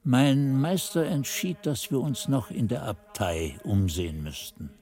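Man as slow, mellow monk narrator. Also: medium pitch, soft background music, close to an everyday voice. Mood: contemplative. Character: reflective monk recalling his youth as narrator. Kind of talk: literary radio drama narration.

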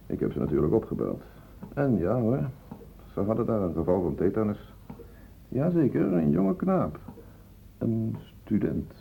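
An actor playing a matter-of-fact, world-weary hospital doctor, reporting tersely in a radio play. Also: male voice, on the low side, sing-song, measured pace, vocal fry, pauses often, dark tone, slightly rough, exaggerated voice. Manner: colloquial, terse clinical reporting. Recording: tinny, noisy old radio play recording.

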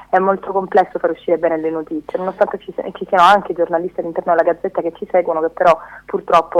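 A woman activist speaking emphatically in a radio interview over a telephone line, halting between bursts. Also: on the low side. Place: remote call to a radio studio.

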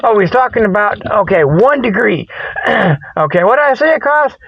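A man's voice: high voice, very animated, normal speed, creaky. A man hosting a comic mock report in a folksy, rambling, colloquial way that often loses its thread, on lo-fi audio. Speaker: host of a comedic mock news report. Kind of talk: rambling comic monologue, spoof sports and ad report.

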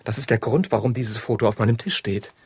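A man's voice: mid-range voice, quick pace, slightly rough, dry recording. An actor playing a stiff, proud human-resources manager in a radio drama, formal and accusatory in his delivery. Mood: indignant. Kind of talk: modern radio drama acting.

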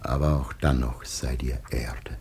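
An actor playing a dying old patriarch, solemn and halting, blessing his children in exalted classical radio drama with a gravelly, breathy voice. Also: rumbling low, fairly even intonation, darkish tone, slight background noise, exaggerated voice. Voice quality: gravelly, breathy, broken by whispers.

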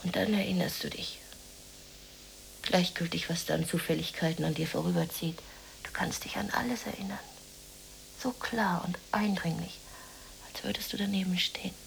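Radio play lead: lonely, despondent woman, halting half-whispered monologue with a gravelly fry, faint background noise. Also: lowish voice, fairly even intonation, low-quality recording. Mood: haunted, remembering. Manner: halting, breathy half-whisper, musing to herself. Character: lonely, depressed unemployed woman, brooding and dreamy.

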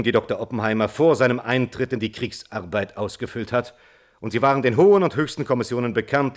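An actor playing an earnest defence lawyer, formal and deliberate, making a plea in a German radio drama. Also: male voice, medium pitch, lightly acted. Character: measured, earnest defence lawyer pleading at a hearing.

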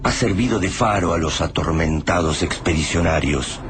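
A man narrating a radio drama in a slow, solemn, measured way. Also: low voice. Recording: noisy recording.

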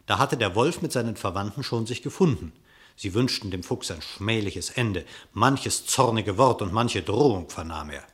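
A man narrating a classic radio play in literary verse, telling the story in a measured, formal way. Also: on the low side, lively intonation, fairly bright tone.

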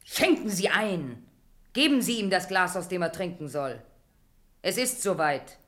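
Solemn, fateful woman, 1960s radio drama. Lower register, formal, faintly noisy old recording. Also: animated, unhurried. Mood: solemn, commanding. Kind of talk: 1960s German radio play acting.

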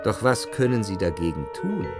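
An actor playing a grave, philosophising gentleman narrator in a radio drama, reflective and deliberately emphatic. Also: lowish voice, big pitch swings, loud music behind the voice. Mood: brooding, intense. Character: grave, philosophising gentleman brooding on love and suffering. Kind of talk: literary radio drama monologue.

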